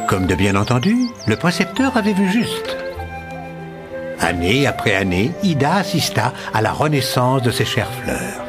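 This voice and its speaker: Hugely animated fairy-tale narrator, music behind. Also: male voice, mid-range voice, measured pace. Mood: wry. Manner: expressive, wry storytelling. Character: wry, lively fairy-tale storyteller. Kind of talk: scripted cartoon narration.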